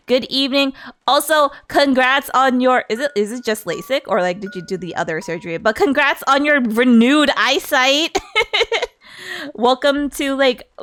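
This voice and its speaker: A woman's voice: on the high side, fairly bright tone. A woman livestreamer chatting casually in a playful, giggly, rambling way. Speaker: streamer.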